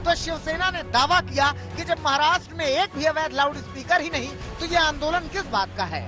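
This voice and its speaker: A man's voice: very high voice, a little fast, over music, a crowd in the background. A man reading a scripted television news report as a reporter.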